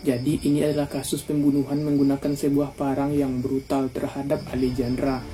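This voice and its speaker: Young man, from Indonesia, true crime narrator, slangy, very dark tone, monotone. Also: medium pitch, fairly quick, faint music behind. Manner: slangy, addresses viewers directly.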